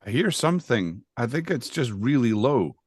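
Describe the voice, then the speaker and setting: A man's voice: medium pitch, sing-song, normal speed, dry recording, clean studio sound. A man chatting and explaining casually on a video call, in a hesitant, stop-and-start way. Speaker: co-host.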